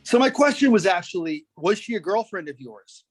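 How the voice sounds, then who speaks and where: Man as interrogating attorney, high male register, persistent, clipped, probing. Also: expressive, normal speed, slightly rough, faint music behind, poor audio. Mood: insistent. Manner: insistent, literal, clipped questions. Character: persistent, probing male lawyer questioning a witness. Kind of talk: dramatic reading of a deposition transcript.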